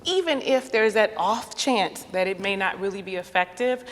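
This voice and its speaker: A woman's voice: mid-range voice, animated, normal speed, a bit bright. Earnest young woman in a roomy hall. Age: 20s. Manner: earnest, heartfelt. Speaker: student, discussion participant. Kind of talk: debate discussion. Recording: roomy hall reverb, faint ambience.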